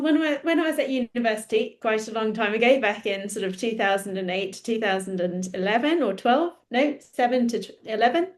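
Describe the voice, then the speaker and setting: Chatty woman podcast guest. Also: medium pitch. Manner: chatty, laughs often, self-deprecating. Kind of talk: casual interview chat.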